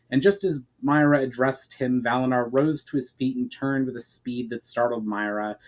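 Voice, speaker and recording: A man's voice: mid-range voice, rough recording. Male author, storyteller, dramatic fantasy narration, mid-range voice. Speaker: author reading own fantasy book.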